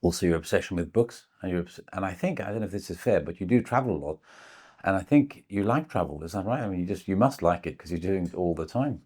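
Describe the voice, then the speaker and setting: A male interviewer with a very low voice carries the conversation. He probes his guest, stringing his observations together in loose, run-on sentences before asking whether he has it right. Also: expressive, fast, a little mellow, husky.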